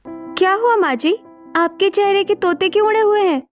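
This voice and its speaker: High-voiced anxious young daughter-in-law by an actress. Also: female voice, normal speed, faint music behind. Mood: curious. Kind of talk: Hindi cartoon story dialogue.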